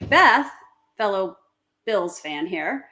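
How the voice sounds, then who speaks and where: A woman's voice: mid-range voice, big pitch swings, slow, sharp tone. A woman hosting a casual podcast, upbeat and steering the conversation with questions.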